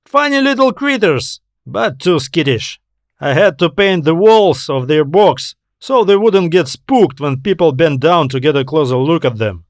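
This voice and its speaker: A breezy castaway man, as a male narrator plays him in a sci-fi audiobook. He talks in a wry, slangy, offhand way, with his pitch lifted. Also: animated, a little slow, no room sound, studio quality. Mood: sardonic, casual. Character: Lucas, breezy sardonic tall castaway man. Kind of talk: audiobook character dialogue.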